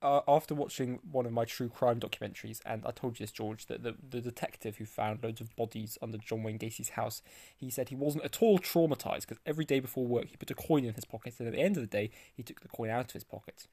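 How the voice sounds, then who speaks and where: A woman's voice: extremely low pitch, warm tone, no room sound. Playful woman, dry booth sound. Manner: playful, jokey, quick to laugh. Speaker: podcast co-host. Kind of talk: casual chat. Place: small soundproof recording booth. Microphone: studio microphone.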